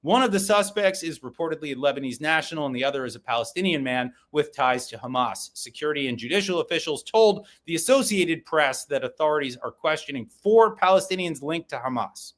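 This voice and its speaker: A man with a somewhat high voice runs through the day's headlines at a brisk clip. He drops in short, pointed remarks of his own between the items. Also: expressive, a bit bright. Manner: brisk, opinionated commentary. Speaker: podcast host reading headlines. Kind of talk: news brief with personal asides.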